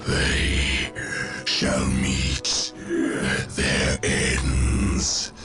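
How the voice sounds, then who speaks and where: A man voicing an ancient, deathless robotic lord in a video game cutscene, cold and menacing, with slow, solemn, commanding pronouncements. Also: extremely low pitch, a bit bright, husky, dry recording, faint music behind, exaggerated voice. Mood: cold menace.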